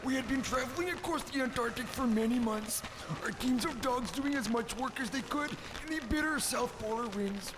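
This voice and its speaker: A man's voice: very high voice, moderate pace, hardly any pauses, background noise, voice pushed up. An actor playing an earnest polar explorer telling his tale in a solemn, eager way.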